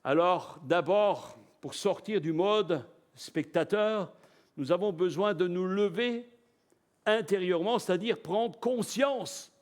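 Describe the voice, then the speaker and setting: A man preaching a live church sermon, lively, asking the congregation rhetorical questions. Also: high voice. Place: church service before a congregation.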